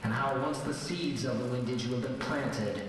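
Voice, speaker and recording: A grim, haunted vigilante played by a man speaks deliberately in an old-time radio drama. The voice sits far from the mic in a huge echoing space, with clear noise over it. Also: medium pitch, lightly acted. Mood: grave, pondering. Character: grim supernatural vigilante haunted by spirits of the dead. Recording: noisy, distant, echoing hall-like reverb.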